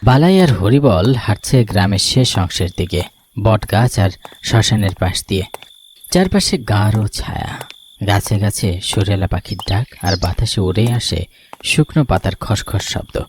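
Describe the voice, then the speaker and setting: A man's voice: on the low side, lively intonation, measured pace, slight background noise. A man narrating a scripted ghost story in a dramatic storytelling style. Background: background music.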